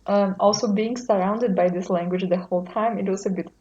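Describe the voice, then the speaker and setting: A woman from Europe, a podcast guest, talking casually and thoughtfully, often pausing and hedging. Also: medium pitch, fairly even intonation, a little mellow, slight background noise. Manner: thoughtful, hesitant, with many fillers and restarts. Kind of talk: casual conversation. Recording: dull lo-fi sound.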